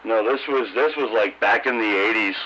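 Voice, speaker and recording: A middle-aged or older American man chatting casually as a radio hobbyist, halting and repeating his words, over a CB radio transmission. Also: lowish voice, pushed and bright. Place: two-way CB radio conversation. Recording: dull, noisy CB radio transmission.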